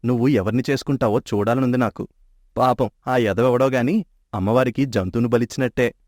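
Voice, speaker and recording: A man plays a sharp-tongued young man who trades jokes with an old friend and talks others round with ease. His voice has a middling male pitch and is recorded dry, right at the mic. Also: no echo, close to an everyday voice. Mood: teasing. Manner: playful, quick-tongued and persuasive. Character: cheeky young man, bickering childhood friend. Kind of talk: animated storybook dub. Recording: dry close studio mic.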